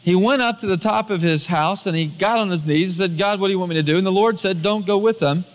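A man's voice: high-pitched, quick pace. A man preaching a storytelling sermon as a pastor, acting out dialogue in everyday colloquial words. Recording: long hall reverb, cassette tape transfer.

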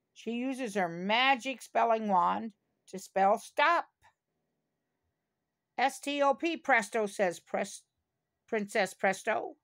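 Slow-paced older woman reading a storybook. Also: mid-range voice. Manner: slow, deliberate, with small asides to the listener. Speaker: storybook reader. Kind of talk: read-aloud children's story.

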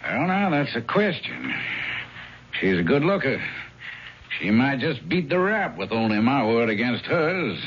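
A man's voice: medium pitch, sing-song, measured pace. An actor playing a wry, sardonic armed lawman in a 1970s radio drama, with a dry manner. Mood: wry, in command. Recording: dull, noisy 1970s radio recording.

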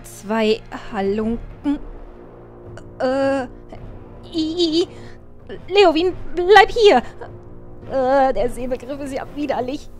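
A woman's voice: high voice, lively intonation, slow, pauses often, faint music behind. A woman playing a frightened, squeamish, flustered young woman in a fantasy audio drama, shrieky and dramatic.

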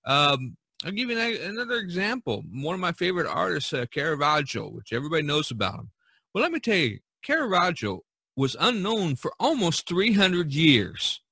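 A man hosts a casual podcast discussion in a bright, forceful voice. He repeats phrases to drive his point home. The sound is dry, with no room around it. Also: a little high, big pitch swings, normal speed, breathy edge, dry recording. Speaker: podcast host.

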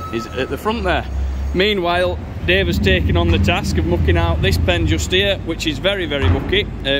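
Chatty farmer filming outdoors. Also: male voice, fairly high pitch. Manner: chatty, relaxed, with many fillers. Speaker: farmer and presenter. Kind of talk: casual vlog narration. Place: outdoor farmyard and open cattle barn. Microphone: handheld selfie camera. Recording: outdoor noise, machinery nearby.

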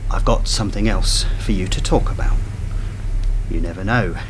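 A cold, calculating male spy handler in a radio drama, played by an actor. He is sardonic and measured, with a lowish voice over a slightly noisy background. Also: natural voice. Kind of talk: radio play dialogue.

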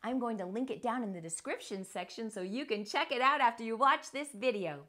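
A middle-aged woman hosting a children's storytime, speaking in an animated, expressive way to young readers. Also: fairly high pitch, a little fast. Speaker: host of children's read-aloud show. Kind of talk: children's storytime read-aloud and presenting. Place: small furnished room indoors. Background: music.

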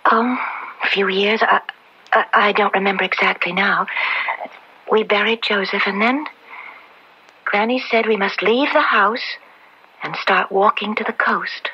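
An actress in an old radio drama playing a frightened young maid condemned as a witch, halting, tremulous and pleading, often dropping to a breathy whisper. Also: medium pitch, sharp tone, full character voice. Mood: sad, halting. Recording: dull lo-fi old radio recording.

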